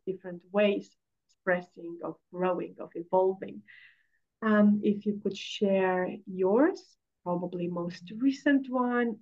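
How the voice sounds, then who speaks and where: A woman hosting a podcast, introducing her guest with effusive, drawn-out phrasing. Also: medium pitch. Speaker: podcast host. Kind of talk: warm interview introduction. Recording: dull lo-fi sound.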